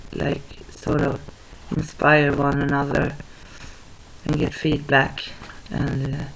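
Young woman vlogging from her living room; slow, halting, monotone, with a gravelly fry. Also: low voice, slight background noise, low-quality recording. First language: Swedish. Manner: hesitant, halting, many fillers and restarts. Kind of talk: casual talk to camera. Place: small living room at home, indoors. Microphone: webcam at desk distance.

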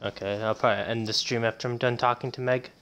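A man's voice: mid-range voice, steady tone, fast, a bit bright. A man, a game streamer chatting casually. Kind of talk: casual chat while streaming.

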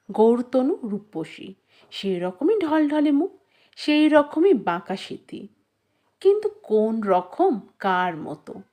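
A woman narrating a literary short story in an expressive scripted style, measured and with dramatic pauses. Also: a little high.

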